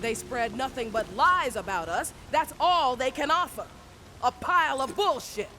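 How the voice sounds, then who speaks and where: A woman's voice: high-pitched, lively intonation, normal speed, pushed and bright, a little hiss or hum. A woman voicing a stern, militant faction leader who delivers a contemptuous, declamatory propaganda broadcast in a video game. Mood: scornful, rallying.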